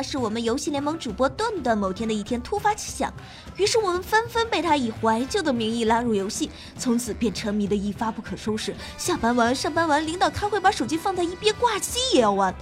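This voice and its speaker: A woman host reading scripted gaming narration in a playful, dramatic storytelling manner. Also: sits high. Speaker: game show host and streamer. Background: background music.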